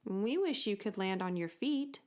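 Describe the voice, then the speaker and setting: A woman voicing an excitable, innocent and bewildered young bird with a chirpy manner, for a children's storybook. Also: medium pitch, unhurried, lightly acted. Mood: puzzled. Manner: chirpy, exclaiming.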